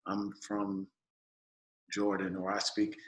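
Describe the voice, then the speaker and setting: A man's voice: fairly low pitch, completely flat delivery, slow. A middle-aged American man chatting casually as a podcast host, in an informal, slangy, playful way. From born in Washington State, raised in Germany. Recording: roomy, echoey podcast room.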